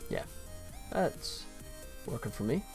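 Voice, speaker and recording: A man's voice: mid-range voice, very animated, slow pace, creaky, a little mellow, soft background music. A man hosting a game development livestream, commenting informally and thinking aloud as he works. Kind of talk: casual work-along commentary.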